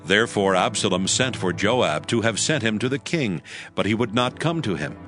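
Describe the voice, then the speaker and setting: A male narrator reading scripture in a measured, solemn manner, his voice on the low side. Soft music runs faintly underneath. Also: expressive.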